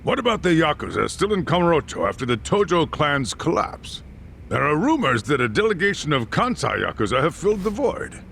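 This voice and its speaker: An actor playing a probing, skeptical political interviewer who puts pointed questions in a game's broadcast scene. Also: male voice, fairly high pitch, sing-song, fairly quick, gravelly, fairly bright tone, a little hiss or hum, full character voice. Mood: challenging, pointed. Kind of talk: broadcast interview in a game cutscene.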